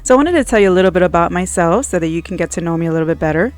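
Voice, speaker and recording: A woman's voice: fairly low pitch, animated, racing, a little mellow. A middle-aged American woman hosting a podcast, telling her personal story to listeners in a chatty, conversational way. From New Jersey and Miami, US. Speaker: podcast host, middle school teacher.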